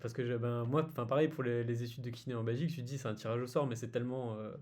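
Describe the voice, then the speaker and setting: A man hosting a casual interview, relaxed and encouraging with frequent murmurs of agreement. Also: mid-range voice, rapid-fire. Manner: relaxed, lots of backchannel "mmh". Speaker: host, interviewer.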